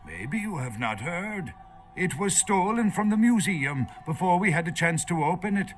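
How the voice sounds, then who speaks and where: A man's voice: high voice, very animated, moderate pace. An actor playing a nervous, erudite archaeologist in a video game, lecturing hesitantly as he searches for words. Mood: earnest, lecturing. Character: nervous, erudite male foreign archaeologist held captive.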